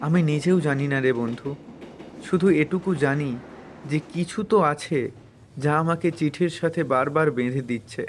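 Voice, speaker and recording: Man playing an earnest, dreamy young man, higher-voiced. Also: normal speed, slight background noise, close to an everyday voice. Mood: wistful. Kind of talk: Bengali cartoon moral story dubbing. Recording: music behind the voice.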